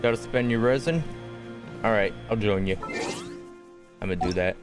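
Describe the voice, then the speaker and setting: A man's voice: fairly low pitch, normal speed. A man streaming a video game, giving casual commentary in a laid-back, offhand, joking way. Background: game music.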